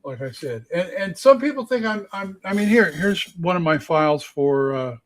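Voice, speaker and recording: A man in his late sixties in a casual chat, halting and repeating his words as he talks about running games. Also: high voice, big pitch swings. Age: 60s. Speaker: game master, co-host.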